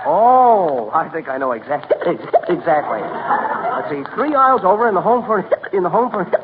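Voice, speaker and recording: A man's voice: high-pitched, sing-song, moderate pace, crowd noise. A man playing a polite store floorwalker who keeps getting stuck on words with hiccupy stutters, in a 1940s radio comedy. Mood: flustered, hiccupy. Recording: tinny, noisy 1940 radio recording.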